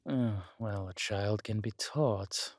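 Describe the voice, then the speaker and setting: A man's voice: fairly low pitch, slow pace, no echo. A man playing a scheming, flirtatious villain king in an intimate roleplay audio drama, with a languid, teasing, menacing delivery. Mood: wry, teasing.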